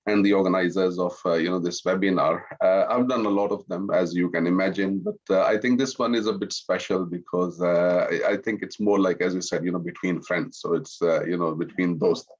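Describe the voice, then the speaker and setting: Deep-voiced middle-aged man, dull lo-fi audio. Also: steady tone, a little fast, hardly any pauses. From Pakistan-born, grew up in France. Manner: courteous, with frequent "you know" asides. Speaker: webinar guest, Islamic finance professional. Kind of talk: conversational personal introduction in a webinar.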